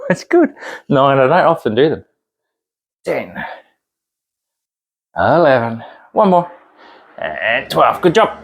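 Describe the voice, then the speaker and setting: Man, New Zealand accent, fitness instructor, encouraging, counting reps, a bit breathy from exertion. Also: a little high. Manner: encouraging, chatty, counts reps aloud while exercising. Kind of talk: workout demonstration, counting reps.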